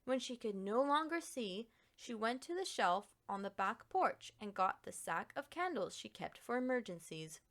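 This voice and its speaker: A woman reading a children's picture book aloud as an expressive narrator, with dramatic pauses and emphasis. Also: a little high.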